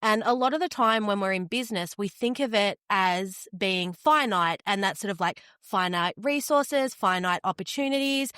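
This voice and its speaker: A woman's voice: fairly high pitch, a bit bright, no echo, studio quality. A woman with an Australian accent and a slightly nasal, head-cold sound, explaining ideas as a podcast guest. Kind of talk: conversational interview.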